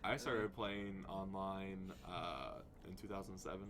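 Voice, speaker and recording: A young man with a deep voice co-hosting a casual podcast, laid-back and joking. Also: fairly even intonation, measured pace, background noise. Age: young adult. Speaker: podcast co-host. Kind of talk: casual chat.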